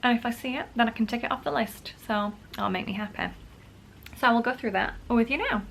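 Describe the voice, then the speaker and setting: A woman's voice: a little high, brisk, creaky, fairly bright tone, a little hiss or hum. A woman vlogger, sick and wheezy with a bad cough, chatting casually to camera and poking fun at herself. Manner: chatty, self-mocking, many "like" fillers.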